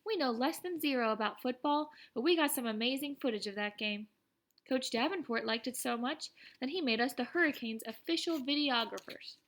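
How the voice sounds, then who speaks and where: Lady narrating as a chatty teen boy, boasts then pokes fun at himself, highish voice, audiobook storytelling. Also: a bit bright. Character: excitable, boastful, self-deprecating teenage schoolboy telling his story. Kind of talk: first-person audiobook narration.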